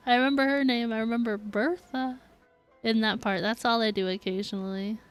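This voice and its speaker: A woman with a fairly high voice chats casually on a game livestream. She thinks aloud in an informal way as she addresses viewers. Soft music runs faintly behind her. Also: moderate pace, smooth. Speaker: game streamer.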